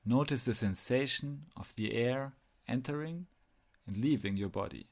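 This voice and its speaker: A man with a mid-pitched voice and noticeable vocal fry leads a guided meditation. He gives instructions in measured phrases and leaves pauses between them.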